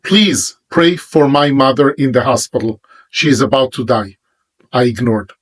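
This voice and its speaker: A man with an Israeli accent, a native Hebrew speaker, preaching about prayer in an emphatic monologue with pauses between phrases. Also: medium pitch. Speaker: religious teacher. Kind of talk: monologue sermon.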